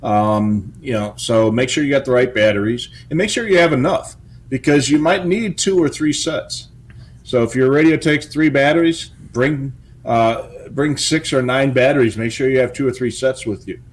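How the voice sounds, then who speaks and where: A man co-hosting a hobby show, giving practical tips in casual, halting speech with stammered pauses. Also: mid-range voice.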